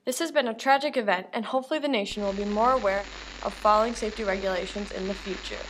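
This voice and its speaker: A woman's voice: medium pitch, fast talker, lightly acted. A woman playing a 1930s radio news reporter, reading scripted bulletins. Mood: earnest. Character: 1930s radio newswoman reporting national, sports, world news. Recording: reverberant room, faint background noise.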